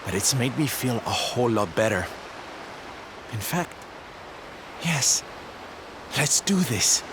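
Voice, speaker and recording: A man's voice: medium pitch, big pitch swings, slow, background noise. An actor playing a flamboyant, sensitive male circus performer in a video game, camp and dramatic in his delivery. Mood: rueful, resolving. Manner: camp, dramatic, sighing, coy. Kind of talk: video game character acting.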